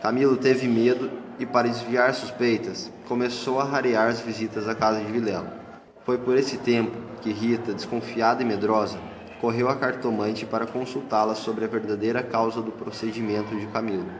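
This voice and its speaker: A man's voice: mid-range voice, little pitch movement, moderate pace. A man narrating a scripted literary story for an amateur radio play. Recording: echoey hall with clear noise.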